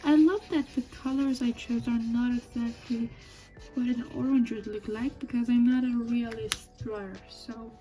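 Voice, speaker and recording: A young woman with a Persian accent chatting softly while she draws, thinking aloud as she goes. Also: a little high, fairly even intonation, moderate pace, rough recording. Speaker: drawing video creator. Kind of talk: casual chat while drawing. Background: faint music and marker scratching.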